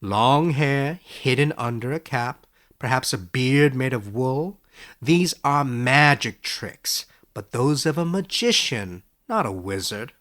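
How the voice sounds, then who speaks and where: A man playing a skeptical but kindly professor in a storybook, with a dry, measured, gently lecturing manner. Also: medium pitch, animated. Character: wry, skeptical, kindly male professor, unhurried and quick-witted. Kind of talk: storybook character voice in audiobook narration.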